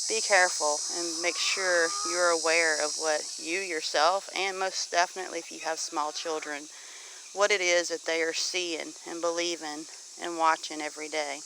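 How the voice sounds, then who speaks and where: A woman's voice: on the low side, pushed and bright, slight background noise, low-quality recording. A middle-aged woman with a Southern US accent gives an informal, preachy monologue to viewers, earnest and exhorting, repeating phrases for emphasis. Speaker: homesteading vlogger. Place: outdoors on a homestead.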